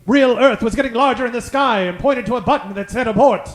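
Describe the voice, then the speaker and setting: A man's voice: extremely high pitch, quick pace. A man narrating and announcing in a grandiose, projected old-time radio serial style. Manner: grandiose, projected showman delivery. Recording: live stage with music and crowd.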